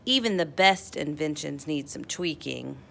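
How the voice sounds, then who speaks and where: Bright, animated woman reading a storybook. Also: low-pitched, creaky, slight background noise. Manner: animated, dramatic emphasis, playful drawn-out words. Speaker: storybook read-aloud narrator. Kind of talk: expressive children's picture book read-aloud.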